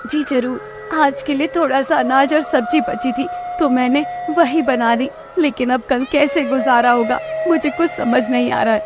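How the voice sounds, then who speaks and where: A woman voicing a gentle, respectful wife of a poor villager in a cartoon moral story. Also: sits high, fairly even intonation, moderate pace, noisy background, pitched above the performer's own voice, lightly acted. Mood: worried, comforting.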